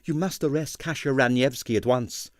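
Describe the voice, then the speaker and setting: A man's voice: medium pitch, moderate pace, no echo, studio quality, close to an everyday voice. A man voicing an emotional, impulsive young ballerina in an audiobook, pleading anxiously and impatiently. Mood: urgent pleading. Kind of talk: audiobook character voice.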